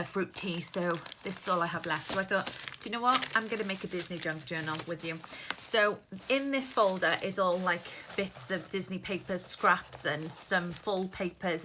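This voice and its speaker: Chatty Welsh woman over rustling paper. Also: mid-range voice. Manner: rambling, chatty, talks while handling papers. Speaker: craft vlogger. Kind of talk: casual chatty crafting tutorial. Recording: paper crinkling noise close by. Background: paper rustling and crinkling.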